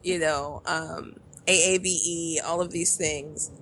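Woman, Chicago accent, husky, lowish voice, casual podcast chat. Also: steady tone, slow pace, fairly bright tone, low-quality recording. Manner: chatty, trailing off and restarting. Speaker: podcast co-host.

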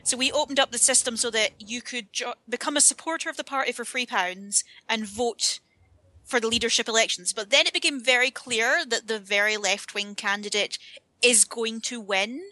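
A woman's voice: on the high side, moderate pace, pushed and bright, no echo. A Scottish woman explaining at length in a casual podcast chat, with a wry manner. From United Kingdom.

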